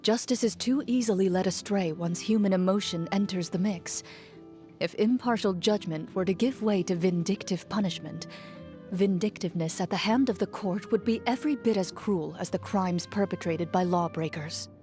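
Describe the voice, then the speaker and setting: Woman voicing stern duelist heroine, video game, measured, formal, dry, principled, mid-pitched, backing music. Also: warm tone, lightly acted. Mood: stern, resolute. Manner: measured, formal, dryly understated. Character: composed, stern, principled female champion duelist. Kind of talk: video game character voice lines. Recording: music behind the voice.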